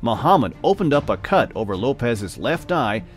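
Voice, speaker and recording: A man narrating a boxing documentary in a measured storytelling manner. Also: medium pitch, sing-song, faint music behind.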